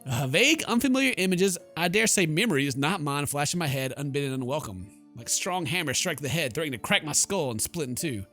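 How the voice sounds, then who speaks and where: A man's voice: a little high, pushed and bright. A young man hosting a gameplay video, reading story text aloud theatrically and throwing in jokey asides. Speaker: gaming video host. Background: game music.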